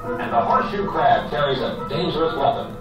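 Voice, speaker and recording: A man's voice: on the high side, lively intonation, brisk, dull audio. Echoey, distant male educational narrator. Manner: explanatory, addresses the viewer directly. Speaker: narrator of an educational nature film. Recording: echoey, distant, music behind.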